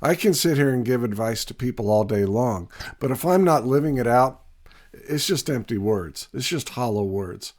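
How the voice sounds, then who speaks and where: A man with a raspy voice, still getting over a cold, teaches in a steady, earnest way. His pitch sits in an ordinary middle range, and he pauses often to think. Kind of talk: scripted teaching talk to camera.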